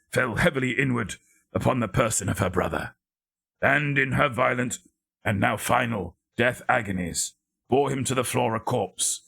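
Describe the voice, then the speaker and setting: Male narrator for gothic fiction: raspy, forceful, theatrical, with measured and deliberate phrasing. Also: mid-range voice. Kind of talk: dramatic scripted gothic story reading.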